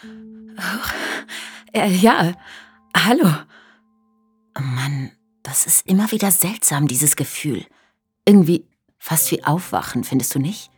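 An actress playing a chatty, scatterbrained narrator in an audio play, musing and drifting into playful asides. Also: female voice, fairly low pitch, animated, slow pace, pauses often, slightly rough, no echo, soft background music, full character voice. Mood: dazed. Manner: musing, hesitant, wandering off into asides. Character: chatty, scatterbrained woman narrator, playfully straying from her script. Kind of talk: scripted audio play for a hiking trail, conversational.

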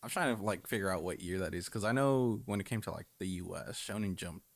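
Low gravelly guy, dry close sound, chill and slangy. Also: brisk, no echo. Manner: laid-back, slangy. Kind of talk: casual podcast chat.

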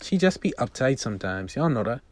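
A man doing teenage girl, sassy, slangy, animated, lifted pitch, script read-aloud, dry sound. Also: brisk, no echo. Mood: casual griping. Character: confident, sassy teenage high-school girl, easily annoyed by mom.

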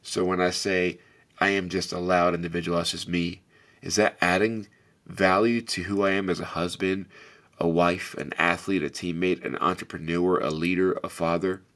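A man's voice: deep voice, flat delivery, moderate pace, fairly bright tone. A man hosting a reflective motivational talk, speaking earnestly and directly to the listener in a big, carrying voice. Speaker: podcast host.

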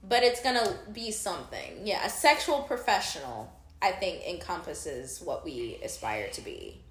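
A young woman co-hosting a casual chat in a playful, teasing, unhurried way. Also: fairly low pitch, expressive, a bit bright, echoey room. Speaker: podcast co-host.

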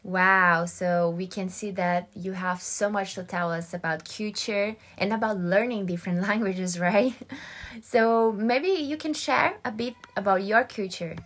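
A woman, a student hosting her own podcast and reading prepared remarks in a careful, measured way. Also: medium pitch, a bit bright. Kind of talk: scripted podcast talk.

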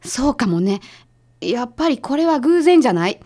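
A sharp, no-nonsense female detective's assistant in a radio drama. Her voice is on the high side, with a Kansai accent. She talks casually and gets straight to the point. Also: big pitch swings, moderate pace. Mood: brisk, curious.

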